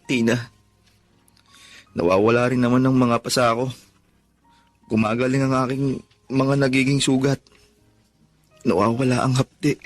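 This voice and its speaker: A man's voice: medium pitch, dry recording, close to an everyday voice. A man playing a poor, long-suffering, devoted son in a Tagalog radio drama, speaking earnestly and respectfully. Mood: sorrowful, resolute.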